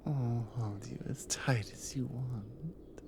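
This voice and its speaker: A woman playing a devoted, dominant Nordic warrior wife in an intimate ASMR audio roleplay, speaking softly and earnestly, often dropping to a whisper. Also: very deep voice, background noise, heavily acted. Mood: tender. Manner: soft, hushed, often breathy whispering, slow and earnest.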